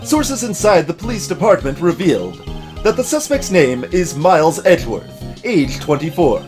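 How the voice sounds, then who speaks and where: A man's voice: on the high side, sing-song, normal speed, music in the background. A man voicing a formal television news anchor in a video game, reading bulletins in a declamatory way. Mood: announcing. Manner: declamatory newsreader delivery.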